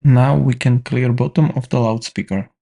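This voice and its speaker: A man's voice: mid-range voice, fairly even intonation, normal speed, vocal fry, dark tone. A man giving instructional, step-by-step narration as the presenter of a repair tutorial.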